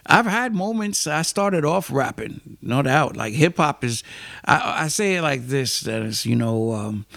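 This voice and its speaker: A man's voice: mid-range voice, expressive, a little slow, vocal fry, fairly bright tone, dry recording. A middle-aged American man with a New York accent, telling his life story as an interview guest, thoughtful and full of fillers. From United States. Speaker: guest poet and musician.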